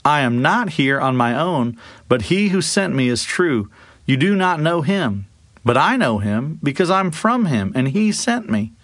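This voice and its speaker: A man's voice: on the high side, sing-song, measured pace, a bit bright, no echo, voice pushed up, full character voice. Man acting earnest teacher, male, emphatic declarations, scripture storytelling, dry recording. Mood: crying out.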